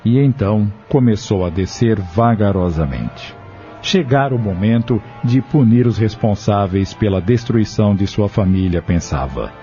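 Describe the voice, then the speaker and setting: A man narrating a scripted audio drama in a measured, dramatic storytelling manner. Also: fairly low pitch, animated, mellow. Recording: dull lo-fi audio with music underneath.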